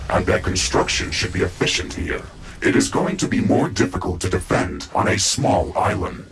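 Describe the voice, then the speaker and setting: A man playing the AI of a mecha-suit in a video game, giving formal, measured tactical reports with a robotic processed voice. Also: low voice, vocal fry, exaggerated voice, poor audio. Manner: measured, formal, clipped announcements. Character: male AI of a mecha-suit, calm tactical advisor. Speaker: AI companion giving mission reports. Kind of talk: scripted video game dialogue.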